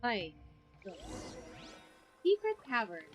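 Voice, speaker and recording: A woman's voice: a little high, wildly swooping pitch, slow. A woman whose native language is Filipino, streaming a game live with casual, reactive commentary. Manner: reacts aloud, mixes Tagalog and English. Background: game music.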